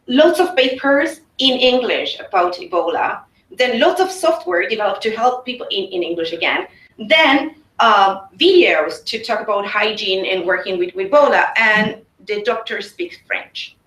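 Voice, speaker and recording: A woman on a discussion panel, speaking in an animated way and asking rhetorical questions. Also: medium pitch, normal speed. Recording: echoey room, distant mic.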